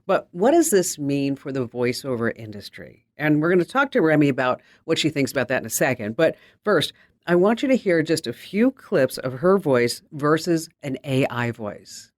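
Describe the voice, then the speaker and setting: A man's voice: fairly high pitch, big pitch swings, moderate pace, no room sound, studio quality. A man hosting a show, chatty and enthusiastic as he introduces a guest. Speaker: host.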